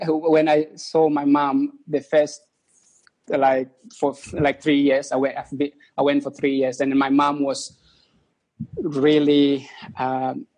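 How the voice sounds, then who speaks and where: A young man from East Timor, a guest on an interview, speaking as an in halting phrases with many restarts. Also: on the high side, darkish tone, low-quality recording. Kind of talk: casual interview.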